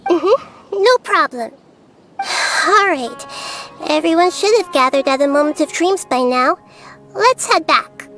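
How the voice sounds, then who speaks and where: A woman voicing a gentle, wise young girl deity in a video game, speaking in a measured, earnest and formal way. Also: sits high, lively intonation, soft background music, full character voice. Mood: calm, composed. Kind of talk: scripted video game dialogue.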